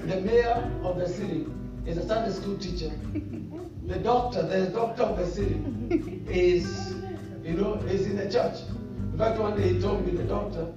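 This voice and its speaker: A man's voice: high-pitched, moderate pace, highs cut off. A man with a Kenyan accent preaching a sermon, joking and calling out to the congregation. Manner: lively, joking, call-and-response with the congregation. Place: church hall. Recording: echoey hall from far off, music behind.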